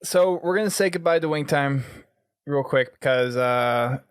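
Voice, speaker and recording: A man's voice: on the high side, normal speed, smooth, no echo, clean studio sound. A man hosting a gaming podcast in a casual, conversational way. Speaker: podcast host.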